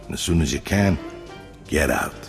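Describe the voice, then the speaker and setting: An actor playing a weary, streetwise ex-gangster who talks colloquially in a video game cutscene. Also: male voice, a bass voice, sing-song, moderate pace, creaky, faint music behind, exaggerated voice. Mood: cautionary. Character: weary ex-gangster man, cautious streetwise older brother.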